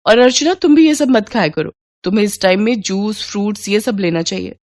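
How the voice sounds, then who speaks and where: A woman's voice: medium pitch, expressive, brisk, a little mellow, no room sound, clean studio sound, natural voice. A woman voicing a snobbish middle-aged mother who lectures critically in a dramatized moral story. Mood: disapproving. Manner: disdainful, lecturing.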